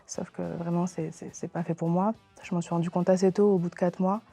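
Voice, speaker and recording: A woman's voice: lowish voice, flat delivery, brisk, mellow, faint music behind. A woman in her twenties giving a spontaneous account of her career path, hesitating with fillers. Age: 20s. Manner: hesitant, with frequent fillers and restarts. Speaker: interviewee.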